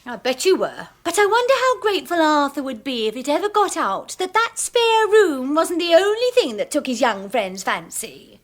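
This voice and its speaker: A scheming wife with a sharp tongue in a radio drama. She speaks with sly mockery in a somewhat high voice. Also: big pitch swings, moderate pace, hardly any pauses. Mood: taunting. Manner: taunting, sly. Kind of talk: 1980s radio drama acting.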